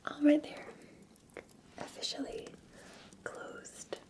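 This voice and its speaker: A Scottish woman whispering gently in a soothing, unhurried ASMR roleplay as a café barista. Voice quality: breathy, whispered. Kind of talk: soft-spoken ASMR roleplay.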